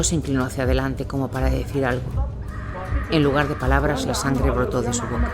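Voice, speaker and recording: A man reading a scripted first-person war account as its narrator. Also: medium pitch. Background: music.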